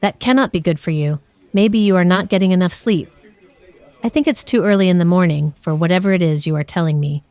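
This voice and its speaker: Woman as sceptical, creaky-voiced office coworker. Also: fairly low pitch, brisk, a little mellow, dry recording, lightly acted. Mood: dismissive. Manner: teasing, dismissive. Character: sceptical, smart-mouthed young female office coworker.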